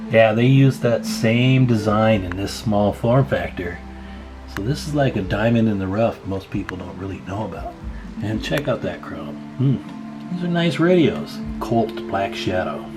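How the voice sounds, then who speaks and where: A man with a fairly low voice talks viewers through hands-on bench work. He is casual and folksy, addressing the audience informally like fellow hobbyists. Guitar music plays clearly behind him. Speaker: host of a radio repair video channel. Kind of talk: casual hands-on workbench explainer.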